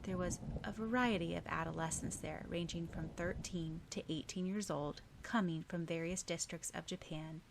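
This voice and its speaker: A woman's voice: lowish voice, slight background noise, poor audio. A woman reading scripted fiction as an audiobook narrator.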